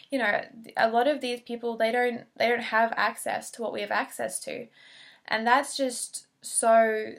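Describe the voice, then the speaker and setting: A woman's voice: fairly high pitch, a bit bright. A young woman in her twenties, a speech pathology student, introducing herself earnestly in a casual video with frequent fillers and restarts.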